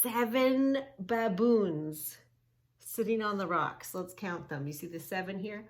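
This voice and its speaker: A woman hosting a children's yoga read-along, teaching in an emphatic, sing-song way with drawn-out key words and questions. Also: medium pitch. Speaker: children's yoga story-time host. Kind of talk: read-along lesson for young children, narrating and instructing.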